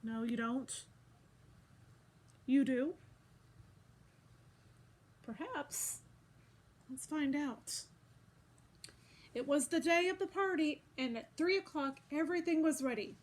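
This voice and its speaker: A woman's voice: high-pitched, takes it slowly, long pauses. A woman storyteller reading a picture book aloud to children, expressively, addressing listeners directly as she goes.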